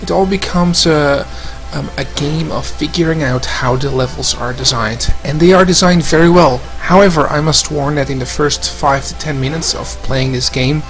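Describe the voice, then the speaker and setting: Casual male reviewer, slightly high voice, hesitant and loose. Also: normal speed, faint music behind. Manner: hesitant, lots of ums. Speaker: game reviewer.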